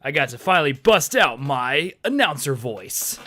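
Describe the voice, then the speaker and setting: Excitable man chatting at a game table. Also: a little high, normal speed. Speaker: player. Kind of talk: casual chat at a tabletop game.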